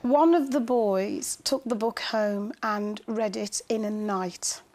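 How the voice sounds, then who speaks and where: A woman's voice: medium pitch, slow pace, darkish tone. A woman, a teacher, recounting experiences in an interview in an articulate, enthusiastic way. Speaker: special school teacher. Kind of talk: interview recollection.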